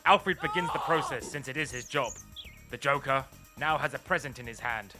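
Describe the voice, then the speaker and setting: A man playing the straight-faced narrator of an absurd superhero radio play, reading short declarations deadpan. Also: medium pitch, normal speed, over music, close to an everyday voice.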